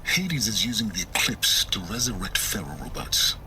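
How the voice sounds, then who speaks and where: Deep, sharp-voiced condescending scholar. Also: male voice, big pitch swings, slight background noise, full character voice, low-quality recording. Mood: grave, measured. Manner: measured, condescending, curt. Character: arrogant, impatient older male scholar, secretive and condescending. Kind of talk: video game dialogue acting.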